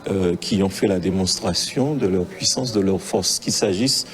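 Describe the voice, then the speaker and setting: Very deep-voiced official speaking emphatically. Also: male voice, normal speed. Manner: emphatic, repeating phrases. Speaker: local official. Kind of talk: formal speech to press.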